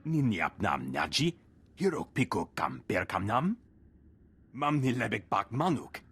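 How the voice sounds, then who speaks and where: An actor playing a haughty alien crime boss in a video game, speaking in an invented tongue. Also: male voice, on the low side, very animated, measured pace, fairly bright tone, breathy edge, heavily acted. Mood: indignant, bargaining.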